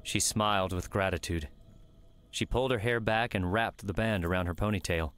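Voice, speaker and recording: A man's voice: low-pitched, normal speed, fairly bright tone, smooth, soft background music. A man reading scripted fiction as the narrator of an audiobook.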